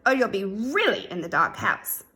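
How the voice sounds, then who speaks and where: A woman's voice: medium pitch, sing-song, moderate pace, pushed and bright. A woman voicing a strict mother cat from a storybook, scolding and coaxing with firm, emphatic commands.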